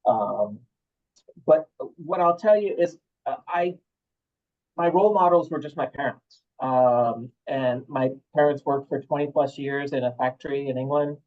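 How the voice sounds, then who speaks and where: A man with an American accent with a slight Midwestern touch, speaking as a panel guest in a relaxed, wry way. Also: medium pitch, measured pace. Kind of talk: casual interview talk. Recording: tinny phone-like line.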